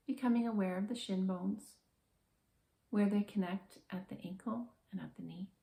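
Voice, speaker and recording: A woman guiding a body scan meditation in a calm, gentle, measured manner with slow, soothing pauses. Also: medium pitch, creaky, roomy sound. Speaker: meditation guide, therapist.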